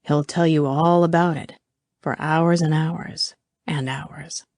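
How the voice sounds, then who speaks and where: A woman voicing a young man who murmurs wry gossip softly and intimately in an audiobook. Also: sits low, steady tone, moderate pace, dry recording, clean studio sound. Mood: intimate, amused. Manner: hushed, whisper-soft, wry.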